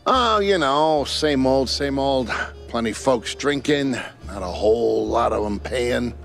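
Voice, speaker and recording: A weary, kindhearted male bartender mouse from a noir game. The folksy, slangy speech rises and falls a lot at a middling pitch, over faint music. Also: lively intonation, measured pace, vocal fry. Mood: resigned, kindly.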